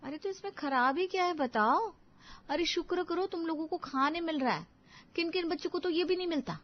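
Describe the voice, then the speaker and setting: A stubborn cartoon housewife played by a woman narrator scolds and insists in a high voice. She is an argumentative young mother, heard on a slightly dull recording. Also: animated, brisk. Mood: indignant scolding.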